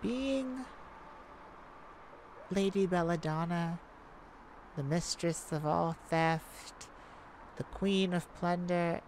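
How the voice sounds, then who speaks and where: A woman playing a low, slow, sultry thief. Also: a little mellow, a little hiss or hum, poor audio. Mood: cocky. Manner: teasing, drawn-out phrasing with dramatic pauses. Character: sultry, cocky, flirtatious female master thief. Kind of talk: ASMR romance roleplay acting.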